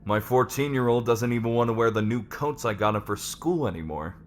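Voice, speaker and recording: A man playing a stern, businesslike lord on a company board, firm and measured, in an ASMR roleplay. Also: mid-range voice, a little hiss or hum, lightly acted. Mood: chatty, grumbling. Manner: measured, firm, matter-of-fact.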